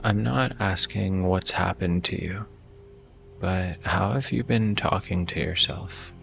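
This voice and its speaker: A man guiding a meditation in a gentle, soft-spoken, conversational way, leaving room between phrases. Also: sits low, slow pace, creaky, dull audio, soft background music. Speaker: meditation guide.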